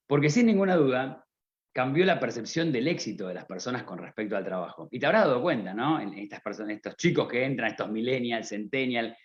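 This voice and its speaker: A man from Argentina with an Argentine accent, giving a motivational business talk at a conference, often asking the audience rhetorical questions. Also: medium pitch. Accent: Argentine Spanish. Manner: rhetorical questions, addresses listener directly. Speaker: conference speaker. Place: conference stage. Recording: slightly dull lo-fi sound.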